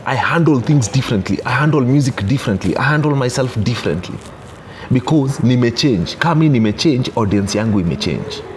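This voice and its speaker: A man's voice: mid-range voice, lively intonation, fairly quick. Kenyan gospel DJ, 30s, male, mid voice, insistent and repetitive, noisy ambience behind. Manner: emphatic, repeats "unanishika?" to check understanding. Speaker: interview guest, gospel DJ. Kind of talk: casual interview, mixing English and Swahili.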